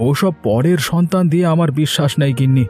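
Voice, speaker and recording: Cartoon merchant, male actor: work-obsessed, mistrustful husband, emphatic and brusque, quick delivery over soft music. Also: on the high side, natural voice. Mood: grumbling. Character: childless, distrustful, work-driven merchant husband. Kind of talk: animated moral-story voice acting.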